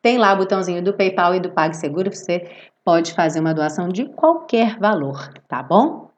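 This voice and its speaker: Hoarse Brazilian woman, chatty online teacher. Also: on the low side, normal speed, dull audio. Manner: expressive, chatty, affectionate with diminutives. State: hoarse with a cold and cough. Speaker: English teacher hosting a live online music-based class. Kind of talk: informal livestream chat with viewers.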